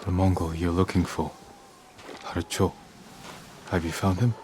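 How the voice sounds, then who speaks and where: Man playing a low-voiced, restrained samurai lord. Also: level tone, close to an everyday voice. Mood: restrained concern. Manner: restrained, earnest, measured. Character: calm, principled samurai lord, concerned, commanding. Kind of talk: dramatic video game cutscene acting. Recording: clear background ambience noise.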